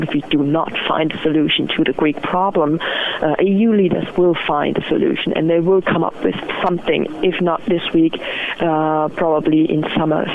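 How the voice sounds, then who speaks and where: Woman on a tinny phone call doing market analysis, gravelly fry in her voice, explains stuff step by step. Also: fairly low pitch. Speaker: currency strategist, interview guest. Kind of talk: analytical market commentary in an interview. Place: phone interview. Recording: muffled narrow phone line.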